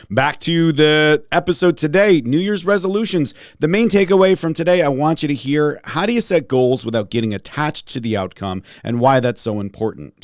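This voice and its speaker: Man, low-pitched, slightly raspy, stuffed-up, informal jokey podcast host, close dry sound. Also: dry recording. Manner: jokey, self-deprecating, informal. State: congested from a respiratory illness. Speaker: podcast host, holistic pharmacist. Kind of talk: casual podcast hosting to listeners.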